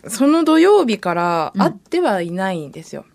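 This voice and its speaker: Lively, bashful woman radio guest. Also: medium pitch, normal speed, creaky. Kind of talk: casual chat in polite speech.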